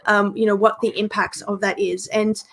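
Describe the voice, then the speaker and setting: A woman's voice: medium pitch, little pitch movement, normal speed, gravelly, a bit bright. A young woman co-hosting a livestreamed meetup over a video call, chatty with many ums and likes. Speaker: meetup co-host.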